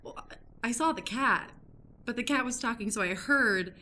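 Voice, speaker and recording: Woman as an eager, high-voiced lady journalist. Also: sharp tone, slight background noise. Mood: flustered. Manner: halting, polite, stammering. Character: nosy, earnest woman journalist Jessica, eager and awkward. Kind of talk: improvised comedic radio play.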